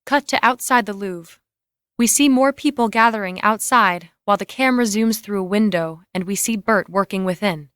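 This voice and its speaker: A woman's voice: mid-range voice, normal speed, fairly bright tone, clear, resonant tone, no echo, studio quality. A woman reading scene and camera directions as scripted narration.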